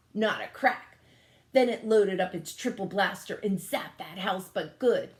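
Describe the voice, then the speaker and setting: A woman reading a storybook aloud to children in a dramatic, emphatic, expressive way. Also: on the low side, a little hiss or hum. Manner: dramatic, emphatic, engages listeners with questions. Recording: echoey room.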